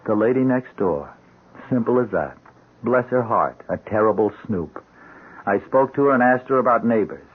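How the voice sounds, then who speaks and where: A loyal police officer, plain-spoken and husky, in a radio drama. His voice sits a little low, and the sound is narrow and tinny. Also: expressive, moderate pace. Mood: wry, reassuring. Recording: tinny band-limited radio recording.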